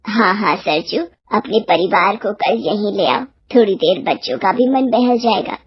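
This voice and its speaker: A woman voicing a kindly, ailing older mother in an animated moral story, speaking earnestly and a little weakly. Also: mid-range voice, measured pace, husky, muffled recording, pitched below the performer's own voice. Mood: kindly, insistent.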